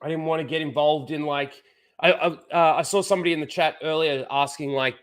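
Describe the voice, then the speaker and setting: A man hosts a casual livestream while fighting a head cold, his nose blocked. His voice sits a little high, and he rambles with constant "like"s and false starts, talking into a desk mic. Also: steady tone, brisk. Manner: rambling, full of "like" and restarts. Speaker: livestream host.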